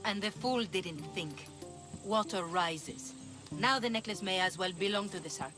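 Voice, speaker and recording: Weary, sardonic market woman played by an actress, Greek accent, mid-pitched, dry delivery, game cutscene with music behind. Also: unhurried, natural voice. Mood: weary, bitter. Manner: dry, wry. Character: weary, sardonic female vegetable merchant. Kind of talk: video game cutscene acting.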